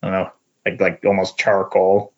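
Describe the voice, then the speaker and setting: A man chatting casually about comics on a podcast, speaking in halting, tentative phrases. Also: sits low, monotone, a little mellow, lo-fi sound.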